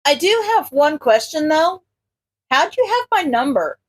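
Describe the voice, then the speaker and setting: A woman's voice: high voice, sing-song, measured pace, voice pushed up. A woman voicing a friendly, easygoing young man in an audiobook, casual and earnest, easily flustered. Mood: reassuring, curious. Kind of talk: audiobook character voice.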